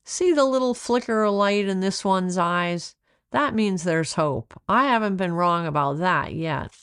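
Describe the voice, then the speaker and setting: A woman's voice: mid-range voice, lively intonation, measured pace, fairly bright tone, clear, resonant tone, no echo, studio quality. Woman reading as kindly, reassuring wildlife rescuer man. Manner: reassuring, explanatory. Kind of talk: children's storybook read-aloud.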